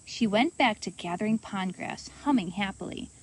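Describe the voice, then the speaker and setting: A woman reading a children's storybook aloud as host, in an expressive, animated manner for young listeners. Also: medium pitch, moderate pace, a little hiss or hum.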